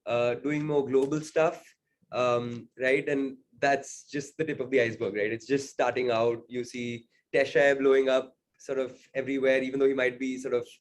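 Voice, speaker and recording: A man's voice: medium pitch, flat delivery, moderate pace, a little hiss or hum. A man being interviewed as a singer-songwriter, talking casually and thoughtfully, hedging often with "sort of" and "I think".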